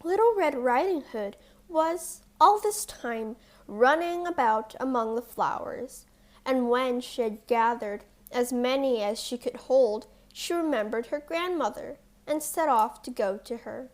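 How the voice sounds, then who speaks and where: A woman narrating a scripted fairy tale for young children as a storyteller, reading deliberately. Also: sits high.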